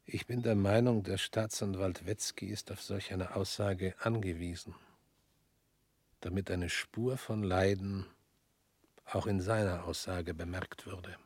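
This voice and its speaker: An actor in a radio drama playing a weary former prosecutor who speaks slowly and drops to near whispers. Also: male voice, low-pitched, slightly rough, dry recording, heavily acted.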